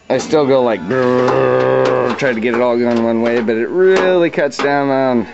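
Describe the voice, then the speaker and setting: A man's voice: medium pitch, vocal fry. A plain-spoken man giving an informal, slangy, hands-on tutorial on repair work. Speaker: DIY auto body repair demonstrator. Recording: dull lo-fi audio, radio music behind. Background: radio music playing in the background.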